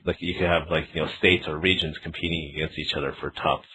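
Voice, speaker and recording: A man interviewing someone casually over the phone as a developer, talking in a halting way and often saying "you know". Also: rumbling low, flat delivery, bright, forceful tone, low-quality recording. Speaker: interviewer and developer of a learning game. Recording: phone interview with clear background noise.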